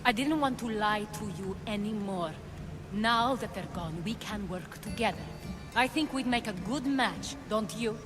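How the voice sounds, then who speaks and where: A woman's voice: medium pitch, unhurried, music in the background, close to an everyday voice. A woman playing a poised, calculating former cult leader in a video game cutscene, composed and persuasive.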